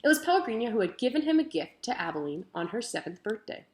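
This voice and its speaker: A woman's voice: a little high, sing-song, fast talker. A woman narrating a children's book aloud expressively, with careful, emphatic storytelling phrasing. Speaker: teacher reading aloud. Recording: roomy echo.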